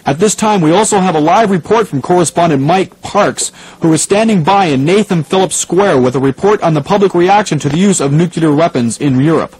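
A man's voice: on the high side, fairly quick, a little mellow, close to an everyday voice, rough recording. A man playing a serious radio news anchor covering a crisis, composed and formal.

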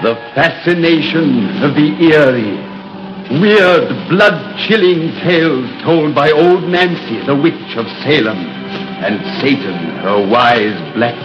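A man's voice: fairly high pitch, animated, slow. A man announcing a 1930s radio horror show in an ominous, theatrical manner. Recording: muffled 1930s radio recording. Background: loud music.

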